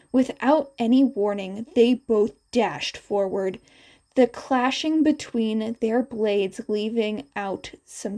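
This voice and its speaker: A woman reads fiction aloud as a narrator. Her voice sits a little high, with a mellow, soft-edged tone. She takes her time, moving through the story at a very slow pace. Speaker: podfic narrator.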